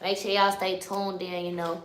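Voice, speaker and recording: A woman's voice: on the low side, level tone, moderate pace, reverberant, rough recording. Slangy young woman hosting a live stream. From Chicago. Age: 20s. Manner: loose, slangy, keeps addressing the chat. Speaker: livestream host. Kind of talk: casual livestream chat.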